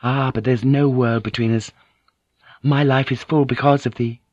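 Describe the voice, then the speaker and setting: A man's voice: medium pitch, steady tone, moderate pace, darkish tone, dry recording, muffled recording, pitched above the performer's own voice, close to an everyday voice. A man voicing a devoted, proud young noblewoman, tender yet fiery, with formal, archaic, earnest speech in an audiobook. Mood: loving. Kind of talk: audiobook character dialogue.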